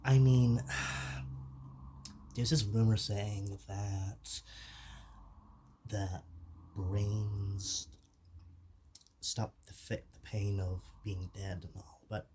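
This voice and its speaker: Man playing a slow, halting, shy zombie. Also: lowish voice, flat delivery, a little hiss or hum. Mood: hesitant, gentle. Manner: halting, stammering, apologetic, soft-spoken. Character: shy, soft-natured zombie, hesitant but hungry for brains. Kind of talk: whispered ASMR roleplay addressed to the listener.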